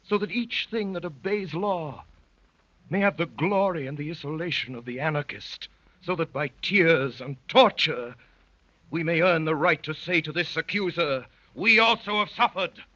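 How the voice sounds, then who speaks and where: Husky, haunted poet narrator. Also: male voice, on the high side, normal speed, bright, forceful tone. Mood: impassioned, exalted. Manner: dramatic, rhapsodic, literary. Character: earnest, haunted poet-detective recounting his strange vision. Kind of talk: 1930s radio drama narration and acting. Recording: muffled, lo-fi 1930s radio recording.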